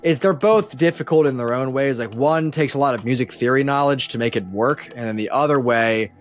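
Halting, casual man with music underneath. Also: mid-range voice. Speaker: podcast guest, musician and composer. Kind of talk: casual chat.

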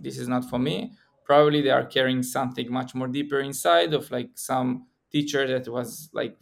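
A young man with an Israeli accent talks in a relaxed podcast chat. His voice is gravelly at mid pitch, and he stops and restarts his sentences often. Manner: halting, many restarts and fillers. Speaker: podcast guest. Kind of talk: casual podcast conversation.

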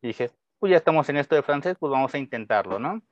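A man's voice: mid-range voice, expressive, quick pace, no room sound. A young Mexican man with a Mexican Spanish accent, a guest teacher answering casually and friendly, with hesitations and restarts. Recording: dull lo-fi dry sound.

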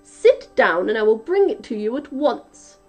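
Giantess, bossy and brisk, pitched up and loud, voiced by a woman narrator; soft music beneath. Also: big pitch swings, muffled recording, exaggerated voice. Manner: brisk, scolding. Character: dim, bossy giant's wife.

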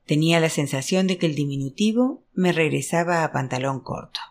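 Audiobook narrator, mid-range pitch, scripted literary reading, novel narration. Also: brisk. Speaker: audiobook reader.

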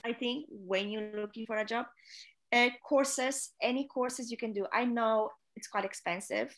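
A woman's voice: a little high, unhurried, a bit bright. A woman from Poland with a Polish accent, a non-native English speaker, giving earnest, direct career advice as an interview guest, with frequent filler sounds. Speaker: chief stewardess, interview guest.

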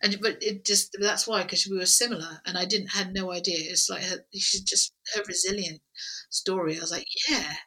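A woman's voice: fairly low pitch, steady tone, moderate pace, pushed and bright, breathy edge, rough recording. A woman talking casually as a podcast guest, chattily retelling moments and quoting little asides. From Nigerian family background. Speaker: podcast guest, video producer. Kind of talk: casual conversational interview.

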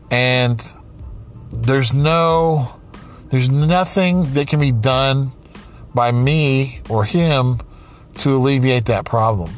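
A man around forty speaking slowly and candidly, pausing and restarting as he thinks aloud. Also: medium pitch. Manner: slow, halting, with many restarts and pauses. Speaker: aspiring writer, comedian and podcaster. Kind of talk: reflective personal monologue.

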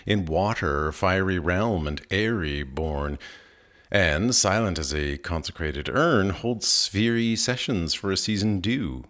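A man reads Romantic verse aloud in an expressive literary recitation, with deliberate, dramatic phrasing. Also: low-pitched. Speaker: poetry reader.

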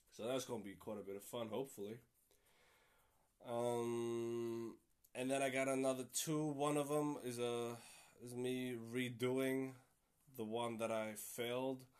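A man's voice: mid-range voice, clean tone. Rambling young male podcast host. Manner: rambling, swears casually, many fillers. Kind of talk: casual solo podcast chat.

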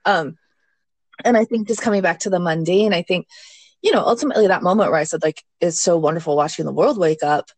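A woman guest in a casual mindfulness chat, candid and quick to laugh. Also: fairly low pitch, darkish tone, dry recording. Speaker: podcast guest, mindfulness teacher. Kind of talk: casual conversation.

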